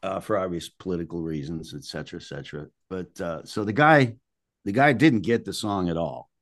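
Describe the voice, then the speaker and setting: Deep-voiced older man telling stories, dry sound. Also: a little fast, a bit bright, no echo. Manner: blunt, colloquial, salty. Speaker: musician being interviewed. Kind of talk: casual anecdotal storytelling.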